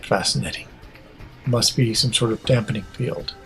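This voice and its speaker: A man playing a stoic Vulcan starship captain in an audio drama, speaking in a measured, formal, precise way. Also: lowish voice, steady tone, a bit bright, soft background music. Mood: courteous. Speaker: voice actor.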